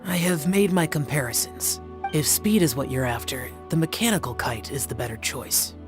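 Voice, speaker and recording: A man's voice: medium pitch, expressive, normal speed, over music, natural voice. A man voicing a curt, aloof warrior. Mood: reserved. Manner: curt, reserved. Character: aloof, terse young warrior guardian. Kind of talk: game voice acting.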